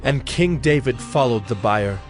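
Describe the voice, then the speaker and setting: A man narrating dramatized scripture from a script in a measured, formal manner. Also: medium pitch, expressive. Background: music.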